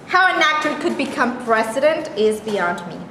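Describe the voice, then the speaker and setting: Lady doing a naive chatty young gal, kinda high voice, staged reading way off in a boomy hall. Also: sing-song, moderate pace, bright, forceful tone, exaggerated voice. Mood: artless. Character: naive, curious young woman, chatty and unbothered. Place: staged reading in an echoey hall, distant mic. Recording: echoey hall from far off, faint noise.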